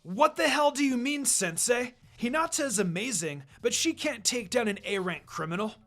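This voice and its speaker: A man voicing a brash, hot-headed young ninja boy, loud and forceful, in a story reading. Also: extremely high pitch, animated, moderate pace, faint music behind, voice pushed up. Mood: outraged.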